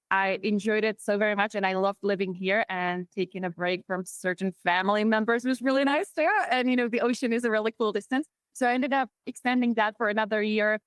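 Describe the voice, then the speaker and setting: A woman's voice: mid-range voice, a bit bright, no echo. Upbeat woman with a Czech accent. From Czech Republic. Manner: chatty and upbeat. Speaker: guest, marketing executive. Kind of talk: podcast interview.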